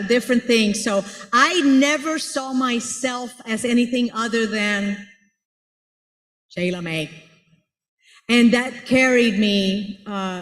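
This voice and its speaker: A woman's voice: on the high side, fairly bright tone. A woman from Rico, speaking earnestly to an audience and searching for her words as she answers a question. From Puerto Rico. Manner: earnest, searching for words, builds ideas with everyday examples. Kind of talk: unscripted conference talk. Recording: echoey hall with long reverb.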